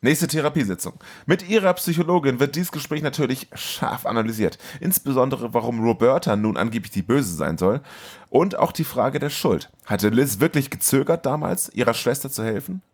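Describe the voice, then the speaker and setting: A man delivers scripted recap narration as a podcast host. His voice is creaky and husky, with lively intonation and a dry, mocking edge. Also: mid-range voice, normal speed. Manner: wry, mocking.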